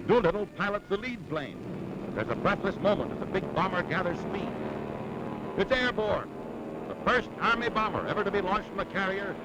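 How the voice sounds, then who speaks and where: Animated, high-pitched 1940s American newsreel man. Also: normal speed, heavy background noise. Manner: dramatic, urgent, emphatic delivery. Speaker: newsreel narrator. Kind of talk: 1940s newsreel narration, scripted. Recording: vintage 1940s newsreel soundtrack with music. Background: loud dramatic music.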